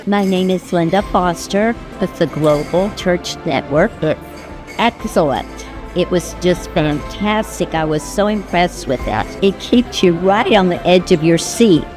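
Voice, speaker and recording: Lower-voiced woman over music. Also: unhurried. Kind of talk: film testimonial.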